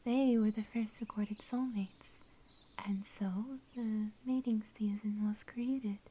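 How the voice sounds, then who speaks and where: A woman voicing a shy, sweet young werewolf girl in an ASMR roleplay, speaking in soft, breathy whispers with a gentle, tender manner. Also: mid-range voice, steady tone, takes it slowly. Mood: tender storytelling. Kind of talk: ASMR roleplay, intimate storytelling.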